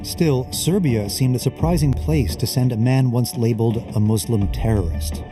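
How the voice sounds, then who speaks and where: A male reporter narrating a documentary in an even, explanatory way. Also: mid-range voice, normal speed. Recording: narration over music. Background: music.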